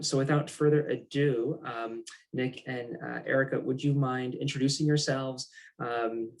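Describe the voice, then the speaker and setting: Monotone man moderating a panel. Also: medium pitch, vocal fry, low-quality recording. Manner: measured, with many ums. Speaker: engineering abroad program manager, moderator.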